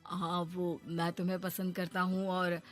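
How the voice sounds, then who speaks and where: A woman voicing an earnest, gentle young man in love, speaking reassuringly in a comic cartoon. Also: lowish voice, monotone, measured pace, loud music behind the voice, pitched below the performer's own voice, natural voice. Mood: earnest, smitten. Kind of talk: comic Hindi cartoon dialogue.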